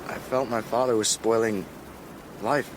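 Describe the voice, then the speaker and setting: A man's voice: fairly low pitch, fairly even intonation, a little slow, background noise, close to an everyday voice. A young actor playing a troubled, lonely, intense teenage boy in a naturalistic radio drama. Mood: brooding.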